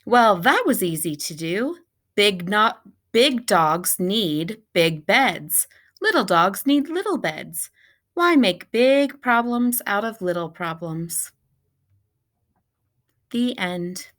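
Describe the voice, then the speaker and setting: Slow-paced woman reading a children's storybook. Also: medium pitch, sing-song, fairly bright tone. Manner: deliberate, measured storybook delivery. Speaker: teacher reading aloud to pupils. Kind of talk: children's picture book read-aloud.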